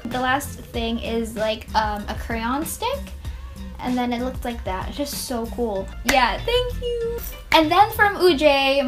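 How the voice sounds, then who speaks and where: A young woman from the Philippines chatting casually to camera, bubbly and gushing, with many fillers. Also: high-pitched. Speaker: beauty vlogger.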